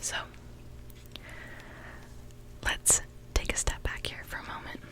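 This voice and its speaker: A young woman playing a kindly mermaid witch in a whispered ASMR roleplay, gently attending to a customer as she brews potions. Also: fairly quick, lightly acted. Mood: attentive. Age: young adult.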